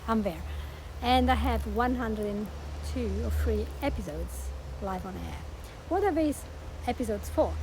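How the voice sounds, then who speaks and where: A woman's voice: fairly high pitch, heavy background noise. A woman with an Italian accent, talking earnestly as a podcast host and life coach, promoting her show. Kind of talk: promotional monologue.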